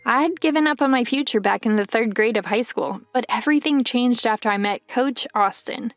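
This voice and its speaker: A woman's voice: fairly high pitch, brisk, soft background music, natural voice. A woman playing a very tall, insecure young woman turned pro basketball player, narrating her own story in an anime-style dub. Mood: reflective.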